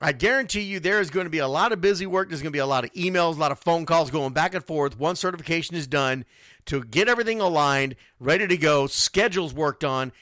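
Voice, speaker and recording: Male sports talk host, blunt and emphatic, slightly high-pitched with a sharp, forceful edge. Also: no echo, studio quality. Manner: blunt, emphatic, repeats phrases for stress. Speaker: youth football radio show host. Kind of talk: informal sports talk monologue.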